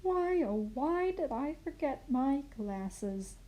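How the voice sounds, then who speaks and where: A woman playing a kindly, muddled grandmother in an expressive children's storybook reading. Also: high voice, slow, voice pushed up, heavily acted. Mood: puzzled. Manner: expressive storybook reading with puzzled self-corrections. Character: kindly, muddled grandmother misreading fairy tale aloud.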